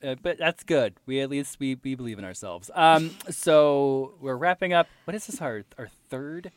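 A man's voice: medium pitch, normal speed, no echo. A man co-hosting a podcast in casual chat, chatty with frequent restarts. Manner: chatty, laughing, trailing restarts. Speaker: podcast co-host.

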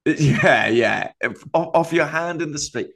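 A man's voice: on the high side, lively intonation, moderate pace, vocal fry, a bit bright. A man co-hosting a comedy podcast, telling a rambling travel anecdote in casual chat and laughing often.

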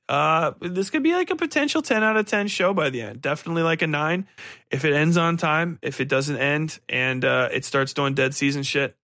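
A man's voice: medium pitch, pushed and bright, no echo, studio quality. A man hosting a solo podcast, giving casual review commentary in a rambling, think-aloud way with some casual swearing. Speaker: podcast host.

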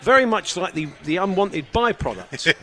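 A man's voice: sits high, expressive, moderate pace, pushed and bright. A man co-hosting a podcast, chatting casually with a dry, joking manner. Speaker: podcast co-host. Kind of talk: casual chat. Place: indoors, travelling abroad.

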